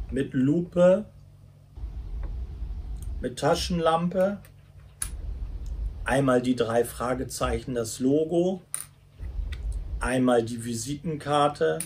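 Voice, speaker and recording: A man giving a casual unboxing commentary in halting short phrases. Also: medium pitch, a little mellow, slightly rough. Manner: halting short phrases while handling items. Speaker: YouTube toy reviewer. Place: small furnished room at home, indoors.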